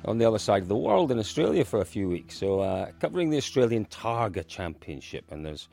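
A man's voice: lowish voice, big pitch swings, fast talker, creaky, dark tone, faint music behind. A Scottish man from Dundee with a genial, chatty manner, hosting a podcast. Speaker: podcast host. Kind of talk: casual chat.

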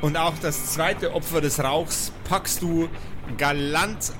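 A man's voice: fairly high pitch, fairly even intonation, takes it slowly. A man narrating an improvised tabletop role-playing game as the game master. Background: background music.

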